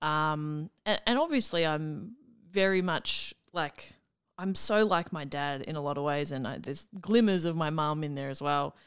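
A young Australian woman talks casually as a podcast guest, trading quick, self-deprecating asides. Her voice is smooth and clear, sitting slightly low for a woman. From Melbourne, Australia. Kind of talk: casual chat.

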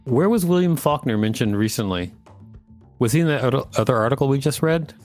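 A man's voice: mid-range voice, lively intonation, fairly quick, a little mellow, faint music behind. A man co-hosting a podcast, reading an article aloud and adding casual commentary. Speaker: podcast co-host.

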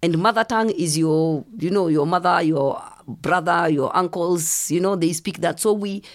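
A middle-aged woman from Kenya, telling lively stories as a podcast guest and acting out the voices of people she quotes. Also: fairly low pitch, normal speed. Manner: animated storyteller, acts out quoted voices. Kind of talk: casual storytelling interview.

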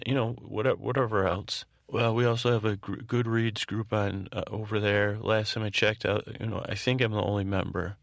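A man with a low voice full of fry speaks as a podcast host, recorded very dry with no room around him. He wanders through informal announcements in a halting way. His sentences often break off, double back and start again. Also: fairly bright tone, no room sound. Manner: halting, meandering, keeps breaking off and circling back. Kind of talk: rambling informal podcast announcements for a bedtime show.

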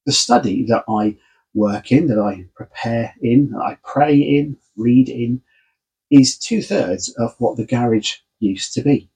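A man's voice: medium pitch, animated, unhurried, darkish tone, husky, rough recording. A man, a pastor giving a casual, conversational sermon from his home study. He is under the weather and sounds snuffly and congested. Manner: friendly, conversational, informal. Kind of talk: casual sermon and Bible reading.

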